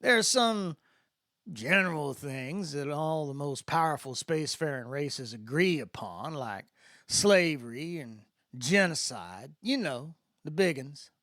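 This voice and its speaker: An easygoing good old boy from the American South , acted out by a male audiobook reader, mentoring in a science fiction story. He talks in a folksy drawl with lively swings of pitch and some gravel in the voice. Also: unhurried, dry recording, clean studio sound. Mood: folksy, explaining.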